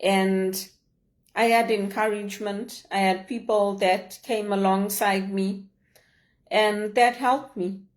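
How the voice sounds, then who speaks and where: A woman's voice: medium pitch, fairly even intonation. Earnest woman. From Zimbabwe. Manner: earnest, measured, heartfelt. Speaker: leadership vlogger. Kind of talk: personal reflective monologue.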